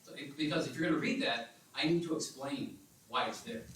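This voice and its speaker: A man's voice: a little high, a little fast, noisy background. A man giving a careful, formal account at a city council meeting, speaking in hedged, lawyerly phrases. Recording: echoey council chamber, distant mic.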